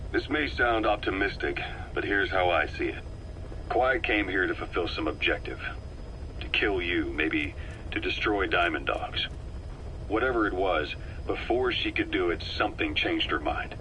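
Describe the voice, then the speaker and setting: A calculating veteran mercenary officer from a video game, very low and bright-edged in voice. He briefs with measured, wry persuasion, over a faintly noisy tape recording. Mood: persuasive. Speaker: military intelligence officer.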